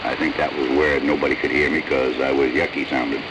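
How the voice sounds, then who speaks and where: A man chatting casually and haltingly about technical details over CB radio. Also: rumbling low, flat delivery. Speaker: amateur CB radio operator. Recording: noisy CB radio transmission, heater running. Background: background noise from heater.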